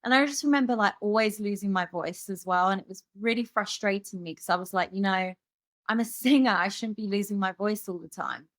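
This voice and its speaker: A woman's voice: medium pitch, fairly quick, no room sound, studio quality. Chatty woman telling her story. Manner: rambling, many fillers and restarts. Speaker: guest musician. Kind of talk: candid personal storytelling.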